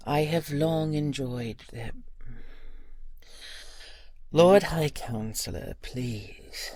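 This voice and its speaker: Woman acting as proud dragon queen, very slow, breathy husky low-register delivery, guarded, wryly formal, fantasy audio drama. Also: darkish tone, dry recording, full character voice. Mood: tense, urgent. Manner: deliberate, restrained, formal.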